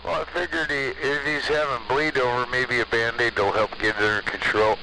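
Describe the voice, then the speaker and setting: A man's voice: medium pitch, steady tone, brisk. An American man chatting casually as an operator over a CB radio transmission. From United States. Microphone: CB radio microphone. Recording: hissy, noisy CB radio transmission.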